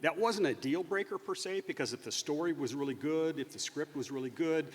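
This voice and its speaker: A man's voice: on the high side, fairly quick, breathy edge. A man speaking as a panelist on stage, explaining things hesitantly with many hedging asides. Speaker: author and panelist. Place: convention stage before an audience. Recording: echoey hall, stage sound system.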